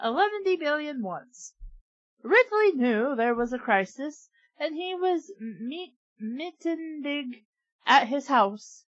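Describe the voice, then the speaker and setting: A high-pitched woman reads bad fan fiction aloud at an unhurried pace, switching from deadpan narration to sarcastic asides. The sound is dry and close, and a little dull and lo-fi. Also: no room sound. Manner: deadpan reading broken by sarcastic asides and giggles. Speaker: reader and podcast host. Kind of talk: comic dramatic reading of fan fiction.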